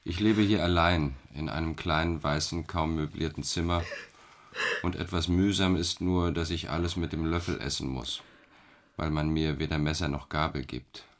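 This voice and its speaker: An actor playing a detached middle-aged man confined in an asylum, calm, matter-of-fact and quietly ironic in a radio drama. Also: a bass voice, moderate pace. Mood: detached, wry.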